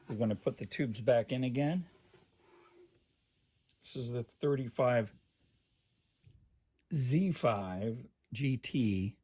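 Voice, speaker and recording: Husky man talking through hands-on work. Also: mid-range voice, darkish tone. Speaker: host of a vintage radio restoration video. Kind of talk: casual hands-on demonstration, talking while working.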